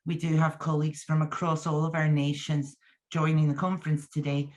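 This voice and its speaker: A woman who is a union deputy general secretary, giving a measured, formal conference address read from a script. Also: sits low.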